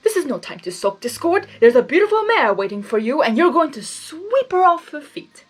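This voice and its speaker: A nervous male storybook creature, smitten and full of self-doubt, acted out by a woman. The voice sits high and is theatrical and exclamatory. Soft music plays behind it. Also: big pitch swings, normal speed. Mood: anxious determination. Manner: exclamatory, self-coaching pep talk.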